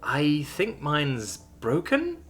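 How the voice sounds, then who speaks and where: A man's voice: a little high, big pitch swings, moderate pace, bright, forceful tone, faint music behind, voice pushed up. Man voicing a blunt, crude tough woman. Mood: dry. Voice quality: crude, dry, exasperated. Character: blunt, weary, tough human woman fighter.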